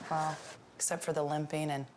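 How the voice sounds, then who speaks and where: A woman's voice: deep voice, level tone, a little fast, background noise. A woman talking casually and frankly on a reality TV show. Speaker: reality show participant, mother. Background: loud music bed.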